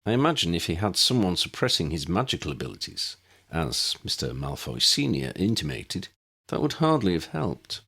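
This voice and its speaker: Man playing a formal, wistful older gentleman. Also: deep voice, very animated, gravelly, slightly rough, full character voice. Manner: formal, old-fashioned, measured.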